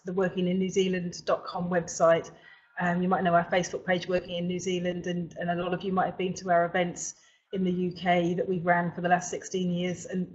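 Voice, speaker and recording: A middle-aged woman hosting an informal webinar, speaking haltingly with frequent pauses mid-sentence. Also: fairly low pitch. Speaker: webinar host for a recruitment company. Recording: dull, lo-fi webinar audio.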